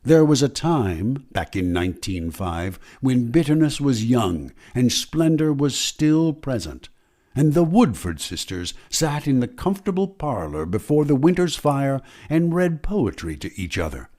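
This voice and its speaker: A man playing a brooding, ominous storyteller, narrating an old-time radio mystery in a deliberate, portentous manner. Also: medium pitch, very animated, warm tone. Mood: ominous, elegiac.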